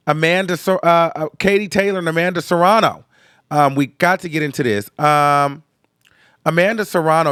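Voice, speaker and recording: A young man hosting a casual reaction video, sounding nasal and congested from sinus trouble, chatty and animated. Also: mid-range voice, no room sound, clean studio sound. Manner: chatty, animated, tag questions like okay. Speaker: host.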